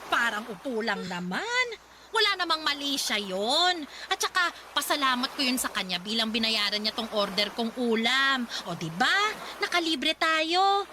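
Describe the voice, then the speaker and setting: A vain, flirtatious Filipino mother in middle age. Her high voice swoops theatrically, drawling and teasing as in a radio drama, over a noisy track. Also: very animated, unhurried. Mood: brazen, defensive. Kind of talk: Tagalog radio drama acting.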